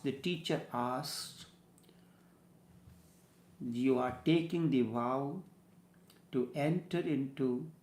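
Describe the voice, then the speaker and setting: Indian guru type man, slow halting talk, voice a bit high, roomy echo. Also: a little mellow. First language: Hindi. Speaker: spiritual teacher. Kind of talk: slow spiritual discourse.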